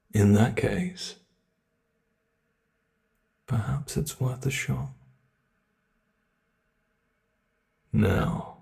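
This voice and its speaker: A British man voicing a sadistic male monster who reshapes his captive prey, in an ASMR horror roleplay, speaking slowly and calmly with a gloating tone that sinks to whispers, close to the mic. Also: fairly low pitch, gravelly. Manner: slow, calm, gloating, drops to whispers.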